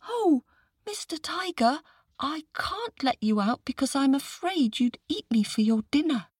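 A woman's voice: high-pitched, very animated, unhurried, darkish tone, dry recording, studio quality, voice pushed up. A woman voicing an earnest, frightened little village boy in a children's story, pleading and protesting indignantly. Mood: nervous.

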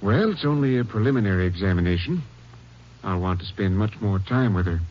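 An actor playing a calm, clinical, reassuring psychiatrist in a 1950s radio drama. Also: male voice, lowish voice, brisk, natural voice. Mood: calm, professional. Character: composed, reassuring male psychiatrist. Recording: muffled old radio recording, faint noise.

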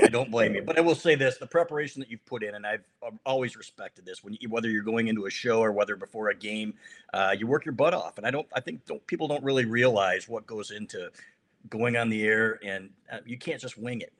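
A man's voice: lowish voice, a bit bright. An American man interviewing a guest in a casual sports chat, with a teasing, bantering way of talking. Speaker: interviewer, host.

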